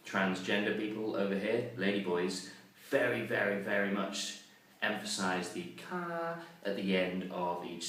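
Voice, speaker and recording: Deep-voiced man, echoey and distant. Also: a bit bright. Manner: chatty, self-mocking, with frequent ums and uhs. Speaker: vlogger. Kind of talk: casual vlog talk to camera. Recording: very echoey, distant mic, faint noise.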